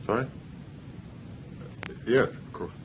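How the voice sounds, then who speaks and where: An actor playing a self-absorbed, infatuated middle-aged gentleman writer in a period drama, with earnest, formal diction. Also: takes it slowly, a little hiss or hum, natural voice, rough recording. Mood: distracted, musing. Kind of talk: theatrical period drama acting.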